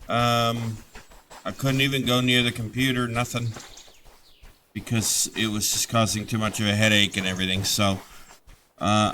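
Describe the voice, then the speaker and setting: A man's voice: medium pitch, pushed and bright, slight background noise. A man hosting a gaming channel, chatting casually over his gameplay, rambling and restarting his sentences as he gets over a head cold.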